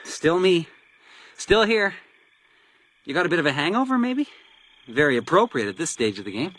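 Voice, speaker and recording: A man's voice: fairly high pitch, very animated, moderate pace, a bit bright. An actor playing a sadistic, theatrically playful male villain in a radio crime drama. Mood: gleeful taunting. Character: sadistic, taunting male villain who plays deadly games.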